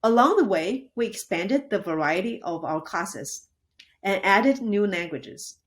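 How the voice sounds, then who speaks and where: Female founder, mid-pitch voice, clear earnest pitch delivery. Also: expressive, a little slow. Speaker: founder and CEO of a language school. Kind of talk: rehearsed pitch presentation.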